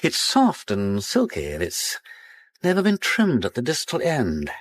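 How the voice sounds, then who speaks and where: An actor in a radio drama playing a genial, witty gentleman detective with an upper-class English accent, breezy and given to musing aloud. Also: medium pitch, huge pitch swings, measured pace. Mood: musing, intrigued. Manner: breezy, whimsical, musing aloud, old-fashioned society slang.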